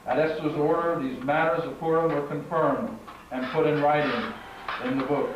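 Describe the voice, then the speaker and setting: A man with a slightly high voice reads scripture aloud as a narrator at a live event. His delivery is measured and declamatory. The recording is very echoey and distant, with muffled sound and clear noise. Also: steady tone. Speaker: narrator and master of ceremonies at a congregational puppet show. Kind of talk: live scripture reading to an audience. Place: large echoing room at a live congregational event. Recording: echoey hall from far off, muffled, noisy.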